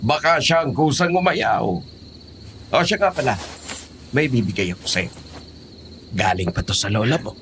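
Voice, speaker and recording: A man's voice: medium pitch, normal speed, pauses often, a bit bright, slight background noise, close to an everyday voice. A man playing a teasing, protective old grandfather in an audio horror drama. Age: older. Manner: jokey, fond, cautioning.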